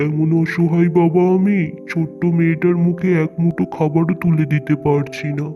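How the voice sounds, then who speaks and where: Guy doing a kind poor farmer dad in a cartoon, plaintive, higher pitched, muffled sound with music behind. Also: flat delivery, moderate pace, natural voice. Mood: despairing. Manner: earnest, plaintive. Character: poor, helpless, kind-hearted farmer father.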